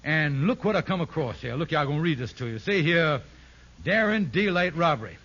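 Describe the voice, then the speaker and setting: An actor playing a blustering, scheming husband in 1950s radio comedy, speaking in folksy, nonstandard dialect. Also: male voice, on the high side, expressive, brisk, a bit bright, breathy edge, exaggerated voice. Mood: alarmed. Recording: dull, hissy 1950s radio recording.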